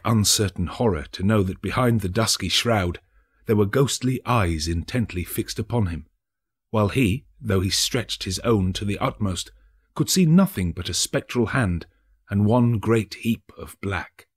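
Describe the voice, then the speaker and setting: A man narrating a classic ghost story as a dramatic audiobook, theatrical and deliberate. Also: lowish voice, slightly rough. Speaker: narrator, actor.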